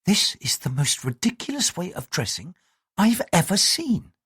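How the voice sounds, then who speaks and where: Dude putting on a polite noble lady voice, high and lively, kinda husky. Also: normal speed, dry recording, clean studio sound, exaggerated voice. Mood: formal. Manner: formal, apologetic, strained politeness. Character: polite, composed Japanese noblewoman interpreter.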